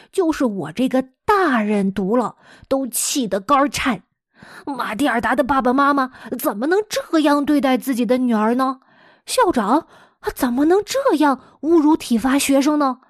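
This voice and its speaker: A woman with an expressive, dramatic storytelling manner, speaking as a teacher to young listeners. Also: fairly high pitch, dry recording, studio quality. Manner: dramatic, animated delivery with rhetorical questions addressed to young listeners. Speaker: teacher hosting a children's story podcast.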